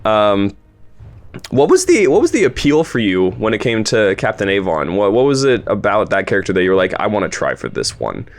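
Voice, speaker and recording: A man's voice: on the low side, wildly swooping pitch, brisk. A man chatting casually and enthusiastically as he hosts a discussion and interviews a cast member. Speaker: host, voice actor and producer of an audio series.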